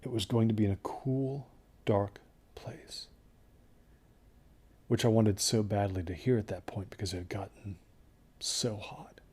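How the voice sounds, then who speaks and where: A man acting as a worn-out survivor of a desert ordeal, with a low, husky voice and a mellow, dark tone. He tells his horror story in halting, hushed phrases, as if still haunted. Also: fairly even intonation. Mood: dazed, hushed. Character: traumatized, exhausted man recounting a doomed desert trek. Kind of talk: slow first-person horror audio drama narration.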